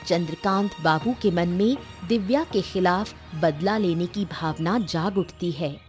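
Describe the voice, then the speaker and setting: A woman's voice: fairly low pitch, a little slow, darkish tone. A woman giving scripted narration for a cartoon story. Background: background music.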